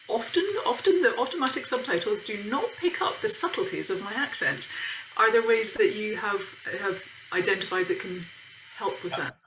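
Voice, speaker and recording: A woman's voice: mid-range voice, noisy background. A woman on an online panel discussion, talking chattily and emphatically, a little self-deprecating. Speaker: panel participant.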